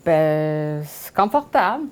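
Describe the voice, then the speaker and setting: The Persian-accented woman invited onto a local cooking show, talking through ingredients at the counter in halting second-language phrasing. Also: deep voice, very animated, clear, resonant tone. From Iran. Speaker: guest home cook. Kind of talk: casual cooking explanation.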